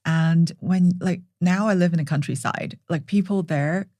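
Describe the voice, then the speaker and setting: A woman's voice: lowish voice, normal speed, dark tone, no echo, studio quality. A woman in her forties from Hong Kong, a native Cantonese speaker, chatting earnestly in an interview with frequent "um" and "like". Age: 40s. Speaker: guest, brand founder. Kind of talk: casual interview chat.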